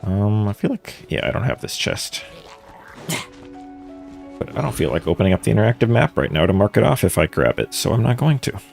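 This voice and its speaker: A man's voice: fairly low pitch, very animated, a little fast, creaky, a little mellow, soft background music. A man streaming a game, giving offhand commentary with joking asides. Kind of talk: casual gameplay commentary.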